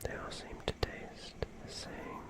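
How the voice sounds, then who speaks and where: ASMR performer needed: hushed whisper, unhurried pacing, long pauses, whimsical and gently mock-formal phrasing. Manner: slow, deliberate delivery with long pauses. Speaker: ASMR streamer playing a character.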